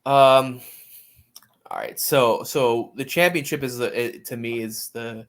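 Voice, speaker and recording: A man co-hosting a sports podcast in casual chat, talking haltingly with repeated words and false starts. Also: medium pitch.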